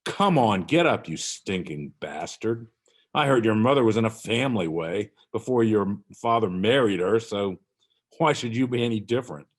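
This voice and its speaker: A man plays a callous, harried Roman guard in a dramatic monologue. The delivery is gruff and self-justifying, with slow pacing and big swings in pitch. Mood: taunting, pitying.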